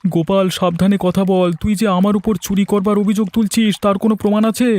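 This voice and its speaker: Cartoon village fisherman, acted by a man: high voice, accusing and defensive, very dry sound. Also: flat delivery, quick pace, darkish tone, no room sound, lightly acted. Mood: indignant. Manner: accusing, argumentative. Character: aggrieved village fishermen quarrelling, suspicious and defensive men. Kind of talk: cartoon fairy-tale dialogue.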